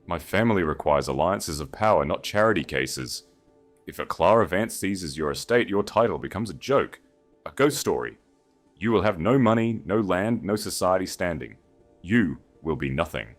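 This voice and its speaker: A man's voice: deep voice, expressive, faint music behind. A man voicing a cold, calculating, contemptuous aristocratic woman with clipped disdain in a dramatic audiobook story. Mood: cold, dismissive. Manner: icy, slow, clipped disdain.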